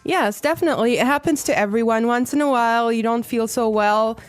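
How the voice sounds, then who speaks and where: Clear, instructive female lesson co-host. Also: fairly high pitch, a little fast, soft background music. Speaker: podcast co-host and language teacher. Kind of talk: conversational language lesson.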